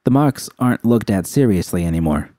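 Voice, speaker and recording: A man reading as a tough, slangy gang woman, low-pitched. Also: animated, a little fast, dark tone, dry recording, studio quality, close to an everyday voice. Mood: grave, confiding. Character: Rita, tough, worried woman gang member. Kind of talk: audiobook character dialogue.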